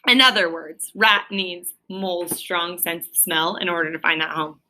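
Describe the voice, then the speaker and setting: Bright-voiced woman reading a story aloud. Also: on the low side, steady tone, moderate pace, slight background noise. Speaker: storybook reader. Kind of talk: expressive read-aloud narration with asides to a child.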